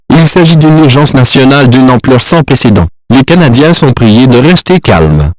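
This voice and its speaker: A man reading a scripted emergency broadcast in a formal, measured manner. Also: medium pitch, no room sound, rough recording.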